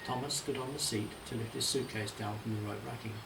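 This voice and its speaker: A man's voice: on the low side, steady tone, fairly quick, creaky, background noise. A man reading his own nostalgic story as scripted storybook narration, in a roomy, slightly distant recording. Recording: roomy, echoey, distant, music behind. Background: music.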